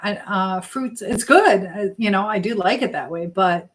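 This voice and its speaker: A woman hosts a casual live stream in a voice of average pitch. She talks loosely to her viewers and reads out their comments. Her delivery rambles, often trailing off before a thought is finished. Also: measured pace.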